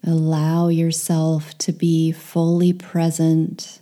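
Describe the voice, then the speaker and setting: A woman leading a guided meditation, speaking softly and soothingly, with some phrases whispered. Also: deep voice, completely flat delivery, slow.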